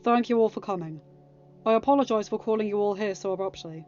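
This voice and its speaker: A woman's voice: mid-range voice, a little mellow, dull audio, faint music behind, close to an everyday voice. A woman voicing an earnest young king who speaks formally and diplomatically in a storybook reading. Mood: grave, formal. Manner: formal, measured.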